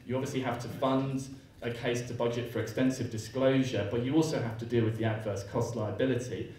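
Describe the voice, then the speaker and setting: A man delivers a fluent, measured conference talk. His voice sits at middle pitch and carries a heavy hall echo from a distant mic. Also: a little mellow, slight background noise. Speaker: panel speaker on law. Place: lecture hall.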